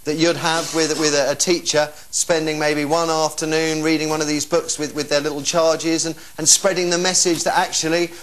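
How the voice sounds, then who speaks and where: A man's voice: fairly high pitch, little pitch movement, moderate pace, few pauses, a bit bright, low-quality recording. A man hosting a live phone-in discussion, speaking informally and emphatically and putting questions to callers. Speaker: phone-in programme host.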